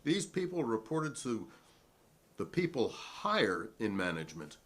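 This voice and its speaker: Man playing a defiant, animated witness. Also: mid-range voice, measured pace. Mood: righteous, indignant. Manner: long-winded, righteous, formal, at times sarcastic. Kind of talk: dramatic reading of a hearing transcript.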